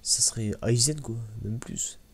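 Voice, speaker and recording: A soft-spoken man gives casual ASMR-style commentary, halting and rambling, repeating words and using slang. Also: mid-range voice, vocal fry, husky. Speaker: ASMR video creator.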